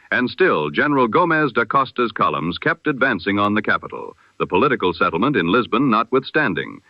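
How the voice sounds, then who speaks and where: Male newsreader, measured and formal, animated pitch, dry close mic. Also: dry recording, lo-fi sound. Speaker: news reader. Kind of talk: scripted 1920s radio bulletin narration.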